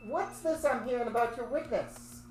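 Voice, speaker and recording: A woman's voice: fairly high pitch, normal speed, roomy sound, recorded from a distance, heavy background noise. A woman reading her part in a casual table reading, in a plain, matter-of-fact way. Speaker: podcast co-host reading a part.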